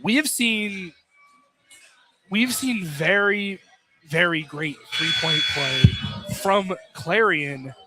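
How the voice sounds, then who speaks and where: A man calling live college basketball play-by-play on radio in an excitable, exclamatory way from inside a gym. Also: high-pitched, extremely slow, pauses often, loud music behind the voice. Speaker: play-by-play announcer. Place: indoor college field house during a game. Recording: noisy live gym broadcast.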